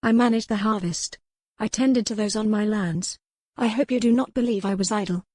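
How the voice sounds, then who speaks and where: A woman's voice: medium pitch, steady tone, moderate pace, darkish tone, no room sound, studio quality, natural voice. A woman voicing a proud, defiant young noblewoman in an audiobook, with formal, insistent phrasing. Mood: proud, defensive. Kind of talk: dramatic audiobook character reading.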